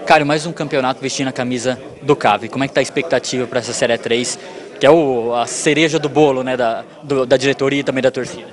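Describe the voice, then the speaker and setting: A man with a Brazilian accent, working as a sports reporter and asking players quick, conversational questions at an event. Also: mid-range voice. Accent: Brazilian Portuguese. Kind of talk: post-event player interviews. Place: indoor event hall with crowd. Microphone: handheld microphone. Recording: lively crowd noise behind.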